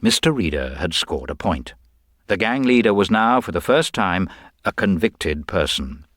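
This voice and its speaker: A man reading a detective story as scripted literary narration, measured, formal and precise. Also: low-pitched, expressive, fairly bright tone, no room sound, clean studio sound.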